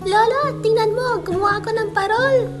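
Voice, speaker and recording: Woman doing an excitable little boy in a radio play, high kiddy voice, music behind. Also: normal speed. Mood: curious. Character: curious, eager young grandson. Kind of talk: radio drama acting.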